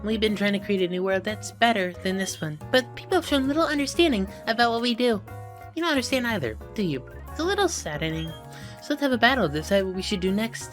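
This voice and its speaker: A woman voicing a composed, condescending villain lieutenant from a video game, measured and coolly formal. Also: medium pitch, lively intonation, soft background music, natural voice. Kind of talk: video game character reel.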